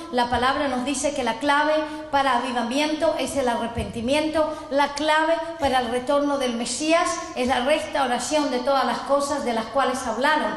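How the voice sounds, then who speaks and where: A middle-aged woman from Chile giving an earnest sermon lesson, reading scripture and asking listeners to repeat after her. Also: sits high. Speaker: messianic apostle teaching. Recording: echoey hall, roomy long reverb.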